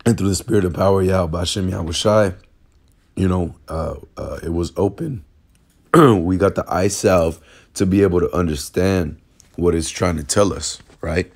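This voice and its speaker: A very deep-voiced man, hoarse and raspy from a cold, gives a casual bible lesson. He talks haltingly, stalls on repeated words and fills gaps with fillers while reading verses aloud. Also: darkish tone. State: hoarse from illness. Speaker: religious teacher. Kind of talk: informal bible lesson over screen recording. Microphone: phone screen recording.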